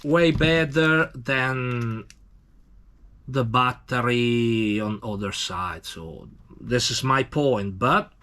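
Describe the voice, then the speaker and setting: A man from Italy with an Italian accent, speaking halting, broken English as he gives a casual hands-on demonstration. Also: medium pitch, sharp tone. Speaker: tattoo machine demonstrator.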